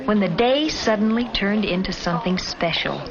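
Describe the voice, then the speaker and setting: A woman's voice: medium pitch, normal speed, crowd noise. A woman reading scripted narration for a 1950s educational film, addressing the listener directly in an admonishing way. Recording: dull vintage film soundtrack with music. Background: film score music.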